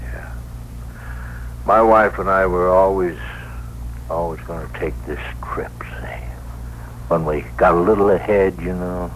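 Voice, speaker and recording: An actor playing a weary, plain-spoken New York cab driver in a 1960s radio drama, hesitant, colloquial and earnest. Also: male voice, sits low, fairly even intonation, unhurried, long pauses. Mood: weary, bitter. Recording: noisy, humming old radio broadcast.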